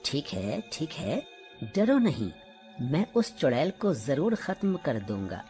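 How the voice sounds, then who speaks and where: A bold old mystic witch hunter, played by a woman in a storybook tale. He speaks with calm, commanding confidence, with music underneath. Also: low-pitched, slow pace, voice pushed down, exaggerated voice. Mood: reassuring, resolute. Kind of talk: dramatic children's moral story acting.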